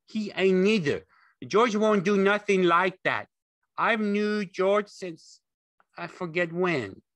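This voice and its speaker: A man's voice: sits high, unhurried, a bit bright, dry recording, voice pushed up. A man voicing a big, childlike, simple man in an audiobook, naive and pleading, with ungrammatical speech. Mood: defiant, upset. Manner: naive, uneducated rural speech with bad grammar.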